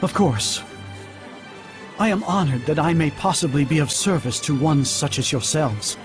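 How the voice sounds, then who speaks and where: An actor playing a humble, devout priest who speaks formally and deferentially, hesitant when flustered, in a fantasy game scene. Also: male voice, on the high side, lively intonation, moderate pace, close to an everyday voice. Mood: humble, earnest. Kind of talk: dubbed fantasy game cutscene acting. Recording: orchestral music behind the voice.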